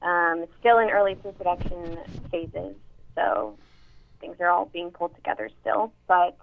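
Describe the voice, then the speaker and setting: A young actress with a somewhat deep voice, chatting casually in an interview with many fillers and restarts. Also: female voice, fairly even intonation, moderate pace, creaky. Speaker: actress and voice actor. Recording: tinny phone-like line.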